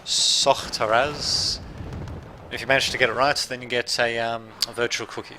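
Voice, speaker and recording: A man with a light Australian accent talks through a real-time strategy match in a loose, rambling way, often correcting himself mid-sentence. His voice has a bright, forward edge, and a faint hiss sits under it. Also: medium pitch, little pitch movement, moderate pace. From Serbia, raised in Australia. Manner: rambling, self-correcting, chatty asides to viewers. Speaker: gameplay commentator. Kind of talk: casual live game commentary.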